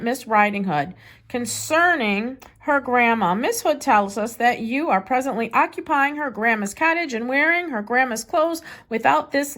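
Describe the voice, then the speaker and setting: A woman's voice: sits high, a bit bright. A woman voicing a stern lawyer, reading formally and firmly from a children's storybook. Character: formal, stern lawyer writing a warning letter.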